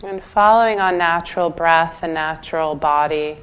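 Low voiced lady teaching meditation, calm and gentle, leaves pauses between instructions. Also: smooth, poor audio. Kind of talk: guided meditation.

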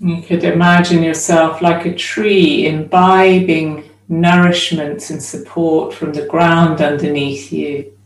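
A woman leads a guided meditation at a very unhurried pace. She speaks in short, measured phrases separated by long pauses, at a middle pitch. The sound is echoey and picked up at some distance from the microphone. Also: flat delivery, a little hiss or hum. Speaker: meditation teacher. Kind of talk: guided meditation, slow spoken instruction. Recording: roomy echo, distant microphone.

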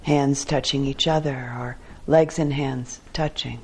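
A woman teaching guided meditation in a calm, measured way, with short phrases and long pauses between them. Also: rumbling low, slightly rough, poor audio.

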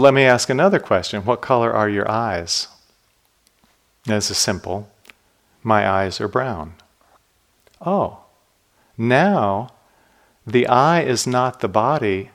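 A meditation teacher with a fairly low voice leads a dharma talk at a retreat center. He speaks calmly and reflectively and keeps turning questions back to his listeners.

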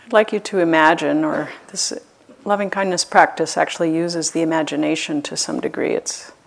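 A woman guides a meditation in a fairly low voice with a pronounced creak. Her delivery is gentle and unhurried. She leaves long pauses between short phrases, as a teacher leading a practice. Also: steady tone. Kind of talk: guided loving-kindness meditation.

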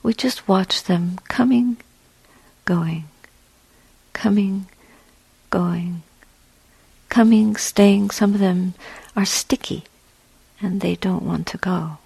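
A woman teaching a guided meditation in a gentle, measured way, with a soft, breathy voice and long pauses between short phrases. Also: mid-range voice, no room sound, poor audio.

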